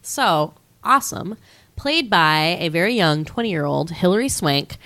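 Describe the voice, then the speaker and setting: A woman in her thirties co-hosting a casual movie podcast, stuffy from a cold, chatting in a lively way and swearing casually. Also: fairly low pitch, unhurried. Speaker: podcast co-host.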